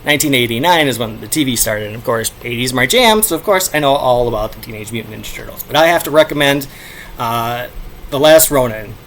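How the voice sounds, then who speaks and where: Middle-aged male review host, playful and casual, lively swings in pitch, faint noise behind the voice. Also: fairly quick. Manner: playful, animated, breaking into shouted sound effects. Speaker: comic book review show host.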